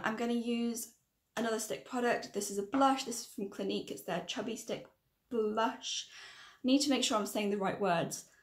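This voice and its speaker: Croaky, higher-pitched woman chatting casually. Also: reverberant. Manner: chatty, rambling, self-correcting. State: croaky, losing her voice. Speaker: makeup tutorial vlogger. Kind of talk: casual makeup tutorial chat to camera. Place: small room indoors.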